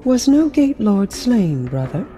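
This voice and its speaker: An aloof queen from a video game. Her voice is very mellow and dark, with slow, formal phrasing that rises and falls expressively over music. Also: medium pitch, big pitch swings. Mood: aloof, probing. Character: regal, coolly imperious alien queen. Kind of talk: scripted game dialogue.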